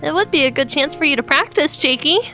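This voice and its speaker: A woman's voice: high-pitched, animated, quick pace. A woman playing an eager, sweet and playful young female friend in a game roleplay, perky and encouraging. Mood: cheerful. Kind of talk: improvised video game roleplay. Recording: background music under the voice.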